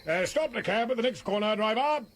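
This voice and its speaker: An actor playing a tired, dogged British police inspector in a radio drama, dry and purposeful. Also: male voice, high voice, lively intonation, fairly quick. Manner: dry, world-weary, purposeful.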